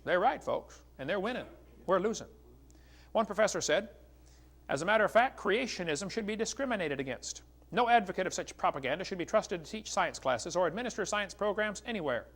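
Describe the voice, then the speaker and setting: High-pitched, creaky American man preaching. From United States. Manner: folksy, polemical, addresses listeners as "folks". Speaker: evangelist lecturer. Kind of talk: religious lecture with read-out quotations.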